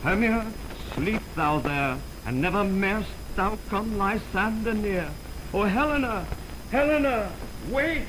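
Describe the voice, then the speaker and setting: A man's voice: high voice, expressive, takes it slowly. A man playing an ardent, callous young lover in a Shakespearean radio drama, declaiming theatrically, on a 1920s recording. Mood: ardent, dismissive. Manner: declamatory theatrical verse delivery. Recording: noisy 1929 optical film radio recording.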